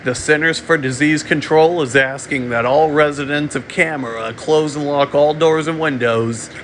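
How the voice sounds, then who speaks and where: A man delivering a mock emergency alert in a halting way, often repeating and restarting his phrases. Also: a little high, steady tone, a bit bright, a little hiss or hum. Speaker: amateur narrator of a fictional emergency broadcast. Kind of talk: mock emergency alert announcement, semi-improvised.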